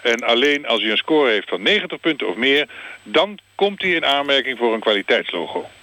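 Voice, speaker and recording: An actor playing an earnest, matter-of-fact official being interviewed in a satirical radio programme. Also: male voice, medium pitch, sing-song, brisk, sharp tone. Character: earnest male official of a gangster rap certification board. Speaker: studio interview guest. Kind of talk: mock-serious radio interview.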